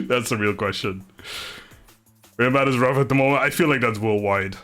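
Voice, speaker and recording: A man in his thirties from the Netherlands, chatting casually with livestream viewers in a rambling, laid-back, low-energy way with mild swearing. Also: unhurried, a bit bright. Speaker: live streamer. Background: music.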